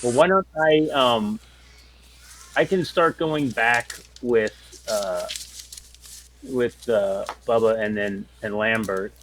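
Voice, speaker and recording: A man's voice: fairly low pitch, takes it slowly, a bit bright, slight background noise, poor audio. A man talking earnestly as a tabletop role-player, explaining and rephrasing as he goes. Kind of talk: earnest in-character planning talk.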